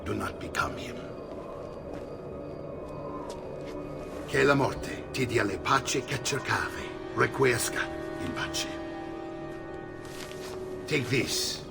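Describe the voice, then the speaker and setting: An actor playing a worldly, middle-aged Italian assassin mentor in a video game, speaking with an Italian accent in an authoritative, theatrical way. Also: male voice, medium pitch, slow, creaky, pauses often. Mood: stern, solemn. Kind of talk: dramatic video game acting. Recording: distant, long reverb, music underneath. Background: music.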